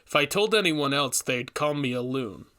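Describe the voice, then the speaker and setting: A man's voice: mid-range voice, lively intonation, moderate pace, pushed and bright, clear, resonant tone. A man voicing an earnest, troubled young forest ranger in a storybook reading, thoughtful and hesitant. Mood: uneasy.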